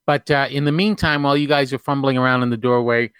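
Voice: medium pitch, steady tone, fast talker, a bit bright, smooth, dry recording. Rambling game master, lots of ums. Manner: hesitant, many fillers, laughs often. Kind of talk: narrating a tabletop game.

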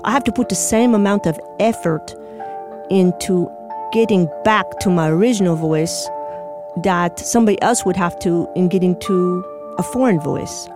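A woman with a foreign-sounding accent tells a personal story in an emotional, halting manner. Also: fairly low pitch. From Indiana, USA. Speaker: storyteller, interviewee.

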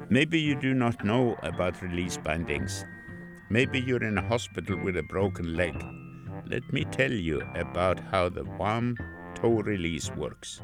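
A man from Norway with a Norwegian accent, reading an old advertisement aloud in an earnest, deliberate way. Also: fairly low pitch.

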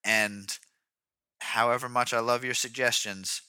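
A man's voice: mid-range voice, fairly even intonation, a little slow, bright, forceful tone, clean tone, no room sound, studio quality. A man co-hosting a podcast, chatting and joking with enthusiasm. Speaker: podcast co-host. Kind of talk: casual podcast chat.

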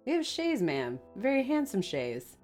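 A woman's voice: medium pitch, huge pitch swings, measured pace, darkish tone, soft background music. A woman voicing a grave middle-aged male innkeeper who speaks in plain, old-fashioned country words and is deferential and sorrowful.

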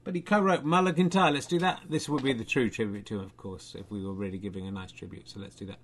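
A man hosting a comedy show, reading out made-up football scores in a dry, deadpan, rambling way. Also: medium pitch, fast, background noise. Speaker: comedy podcast host. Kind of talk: mock football results reading and chat.

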